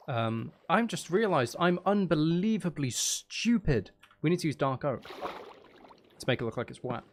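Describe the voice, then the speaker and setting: A man's voice: mid-range voice, a little hiss or hum. A man giving casual gameplay commentary, muttering to himself in a playful, self-deprecating, sweary way. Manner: mutters and counts aloud to himself. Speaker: streamer.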